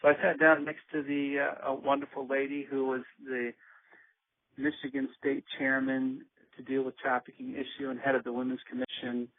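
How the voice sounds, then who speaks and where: A man speaking earnestly on a conference call, measured and pausing often with ums as he lays out plans for his organization. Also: medium pitch, level tone, no echo. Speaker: organization leader. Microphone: phone. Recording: tinny phone line.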